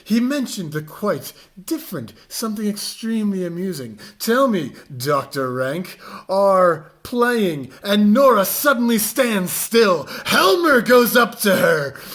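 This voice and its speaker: A man giving a mock-dramatic reading, with overwrought theatrical delivery that swings between hushed and loud. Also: high-pitched, big pitch swings, unhurried.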